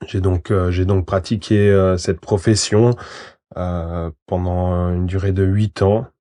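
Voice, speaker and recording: A man's voice: sits low, level tone, takes it slowly, mellow. A man in his thirties from Switzerland, a lawyer answering interview questions in a hesitant way, with many fillers and restarts. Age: 30s. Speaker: guest, lawyer.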